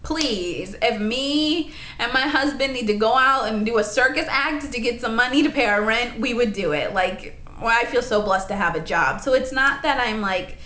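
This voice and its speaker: A woman in her late twenties chatting in a rambling, animated way for her vlog, full of asides and "like.". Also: on the high side, normal speed, reverberant. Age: 20s. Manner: rambling, animated, lots of "like" and "honey". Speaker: vlogger.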